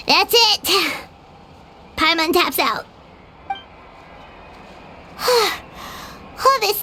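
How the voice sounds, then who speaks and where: Tiny female companion creature, whiny, very high and bouncy, slow-spoken, game cutscene. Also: full character voice. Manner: talks about herself in the third person, whiny. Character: tiny female floating companion, chatty and greedy.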